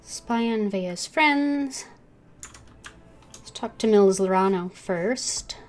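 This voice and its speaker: Slow-paced woman streaming a game. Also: medium pitch, expressive. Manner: halting, thinking aloud. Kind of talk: casual livestream chat and game commentary.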